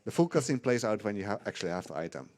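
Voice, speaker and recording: A man's voice: on the low side, very fast. Laid-back giggly man commentating a speedrun. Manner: laughs often, relaxed explaining.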